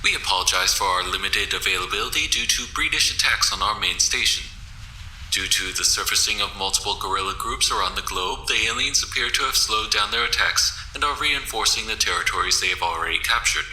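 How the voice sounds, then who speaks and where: A man's voice: on the low side, a little fast, bright, forceful tone. A man playing a solemn wartime radio newsreader delivering a scripted bulletin in an audio drama. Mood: grave, official. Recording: roomy echo, faint noise.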